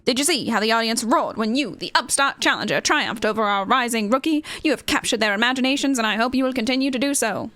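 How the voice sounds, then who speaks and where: A woman's voice: on the high side, animated, quick pace, few pauses, fairly bright tone, no room sound. A woman reading out game dialogue as a polite, theatrical arena promoter and commentator, formal and showy. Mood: earnest, formal.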